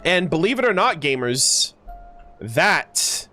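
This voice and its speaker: A man with a fairly high voice gives livestream game commentary. He moves between reading aloud and informal, slangy explanation, restating points with emphasis. Faint music plays behind him. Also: sing-song, takes it slowly, pushed and bright. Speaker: video game streamer. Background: background music.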